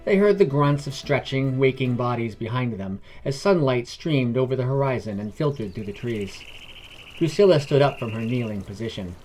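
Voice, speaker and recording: A man's voice: medium pitch, animated, normal speed, a little mellow, faint music behind, poor audio. A man reading scripted fantasy narration as a storyteller.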